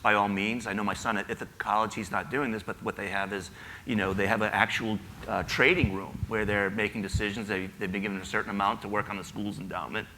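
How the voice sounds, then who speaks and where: A man's voice: on the low side, flat delivery, quick pace, pushed and bright, slight background noise, poor audio. A man on a conference panel, explaining his views with enthusiasm; he has a son in college. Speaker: panelist, retirement editor. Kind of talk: casual panel answer. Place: conference hall.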